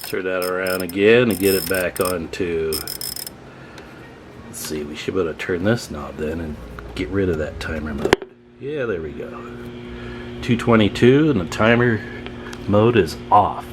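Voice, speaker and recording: A man's voice: sits low, unhurried, long pauses, noisy background. A man hosting a radio repair video, giving casual, folksy commentary to viewers as he tinkers at his workbench. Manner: informal, folksy, talking to viewers while tinkering. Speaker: host of a radio repair channel. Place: at a workbench, indoors.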